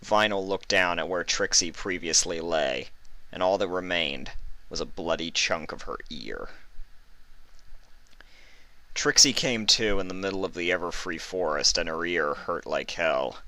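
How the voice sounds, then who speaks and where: A man's voice: sits low, measured pace, bright, forceful tone, dry recording. A man narrating a scripted story dramatically. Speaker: fan fiction narrator.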